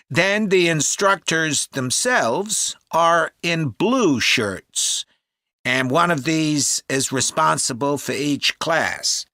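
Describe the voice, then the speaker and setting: A helpful camp manager answering an enquiry. His voice is bright and animated, and he takes his time as he explains things. It sounds scripted and informative, recorded very dry. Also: on the high side, dry recording, exaggerated voice. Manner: informative, measured, upbeat. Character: helpful, upbeat male cycle camp manager taking a call. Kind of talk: acted phone enquiry for a listening test.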